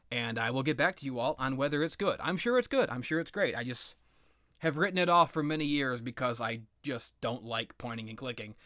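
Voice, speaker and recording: A man's voice: mid-range voice, a bit bright, no room sound, studio quality. A man doing casual, rambling video game commentary, sweary and self-deprecating, with a sardonic, sometimes theatrical way of talking. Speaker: gaming commentator.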